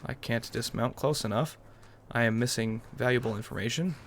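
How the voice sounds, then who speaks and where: A man's voice: medium pitch, moderate pace, a little hiss or hum. A man giving casual, rambling commentary over a roleplay game playthrough in a wry manner. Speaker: gaming YouTuber.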